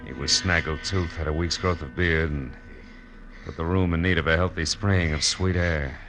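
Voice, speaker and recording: An actor playing a tough, wry private detective, clipped and sardonic, in 1940s radio noir style. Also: male voice, deep voice, fairly even intonation, normal speed. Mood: wry, grim. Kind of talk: 1940s radio noir acting with first-person narration. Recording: old radio broadcast with music.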